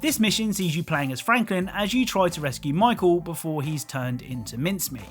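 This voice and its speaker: A man delivers scripted gaming commentary with a touch of dry humour. His voice is on the high side and very animated, over a soft music bed. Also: fairly quick. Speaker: video game YouTuber, narrator.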